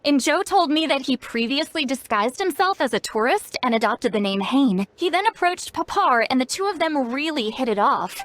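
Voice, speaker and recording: A woman's voice: high-pitched, big pitch swings, moderate pace, hardly any pauses, fairly bright tone, no echo. A woman voicing a spry, youthful-sounding self-styled granny in a video game, playful, knowing and caring. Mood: caring, informative. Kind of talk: scripted video game dialogue. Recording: game music behind. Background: game music.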